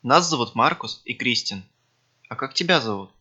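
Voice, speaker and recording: A man with a bright, forceful voice reads scripted textbook dialogues for a language course. He speaks clearly and evenly. The recording sounds dull and lo-fi. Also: medium pitch, animated, moderate pace. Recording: dull lo-fi audio.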